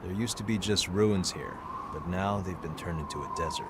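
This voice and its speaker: Man as very deep-voiced, grim video game warrior. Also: normal speed, heavy background noise, natural voice. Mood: brooding. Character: grim, driven male warrior devoted to a woman. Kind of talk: dramatic video game cutscene acting.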